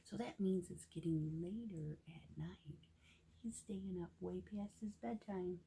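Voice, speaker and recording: A woman reading a picture book aloud to young children with gentle, expressive asides that sometimes drop into a hush. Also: lowish voice, takes it slowly, creaky, background noise. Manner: gentle, expressive, often drops into hushed whispered asides.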